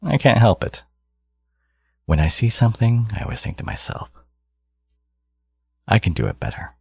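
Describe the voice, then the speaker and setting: A man playing a smug, possessive supervillain genius in an intimate audio roleplay, taunting his hero in a theatrical, teasing drawl with a gravelly, husky voice. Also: fairly low pitch, very animated, normal speed, darkish tone, no echo, full character voice. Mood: possessive, boastful.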